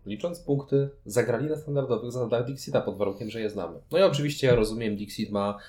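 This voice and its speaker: A man's voice: medium pitch, quick pace, warm tone, roomy sound. A man chatting casually as co-host of a board game review show. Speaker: podcast co-host.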